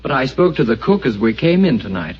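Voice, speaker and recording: An actor playing a well-mannered gentleman giving his account in an old radio drama. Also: mid-range voice, very animated, a little fast, lightly acted. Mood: earnest. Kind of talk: 1940s radio acting. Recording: dull lo-fi radio with faint hiss.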